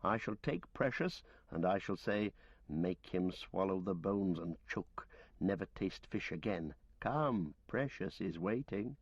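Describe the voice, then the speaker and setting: A man voicing an earnest young male hobbit who coaxes and pleads softly but firmly. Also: low voice, animated, measured pace, husky, dry recording, muffled recording, full character voice. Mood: urgent coaxing. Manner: soft, pleading, firm.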